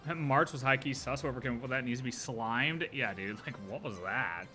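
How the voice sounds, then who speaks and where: A man, the streamer, reacts to a game story in loose, joking talk that breaks into loud outbursts. His voice has a bright, forward tone, with light game music running behind him. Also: mid-range voice, brisk.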